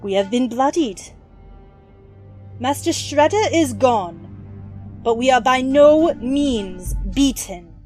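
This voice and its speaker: A woman playing a proud, ruthless female ninja clan leader, giving solemn, formal oratory in a comic-book audio drama. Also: a little high.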